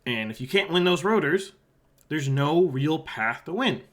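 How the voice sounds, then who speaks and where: A man's voice: on the high side, lively intonation, measured pace. A man from Halifax with a sore throat, giving casual solo commentary as he walks through an election forecast map. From Halifax, Canada. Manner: casual and conversational, drops quieter aside asides while pointing at a map. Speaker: election analyst, channel host.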